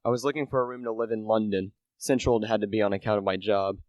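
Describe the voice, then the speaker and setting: Teen guy acting humble little salesman in a radio drama, deep-ish voice, hesitant, apologetic, very dry sound. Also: steady tone, quick pace, darkish tone, dry recording, highs cut off, natural voice. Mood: confiding, rueful. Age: teenager. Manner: hesitant, self-effacing, confiding. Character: humble, deferential small-time relish salesman narrating his tale. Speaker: high school drama student. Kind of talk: amateur radio play acting.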